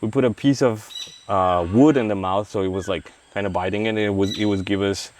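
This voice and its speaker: A man from Mexico, talking through an interview about wildlife work in a halting way, with many restarts and fillers. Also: on the low side, steady tone, a crowd in the background. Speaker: wildlife veterinarian. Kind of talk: casual interview. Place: outdoors in a rainforest release site. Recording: faint outdoor noise, rain. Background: faint rain and forest noise.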